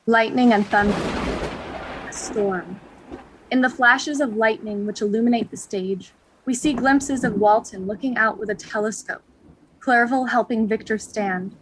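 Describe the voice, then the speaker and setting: Fairly high woman narrator, background noise. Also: a bit bright, rough recording. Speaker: narrator reading stage directions. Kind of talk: scripted narration.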